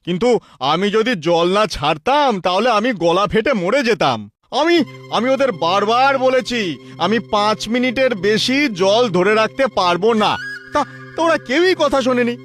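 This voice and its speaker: A man's voice: sits high, expressive, unhurried, a bit bright, dry recording, faint music behind. A man voicing an earnest young fisherman in a folk-tale cartoon, explaining things emphatically. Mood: pleading. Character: earnest young fisherman brother with magic power.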